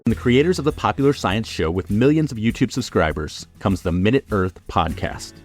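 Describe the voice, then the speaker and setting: A man reads a scripted podcast promo in a low voice. He sounds like an announcer, and music plays beneath him. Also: expressive, a little fast, slightly rough. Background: music under the voice.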